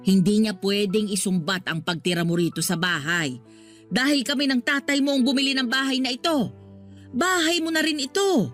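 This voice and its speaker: An actress playing a patient but firm middle-aged mother in a radio drama, soothing and gently scolding. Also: on the high side, expressive, moderate pace, soft background music. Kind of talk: Tagalog radio drama acting.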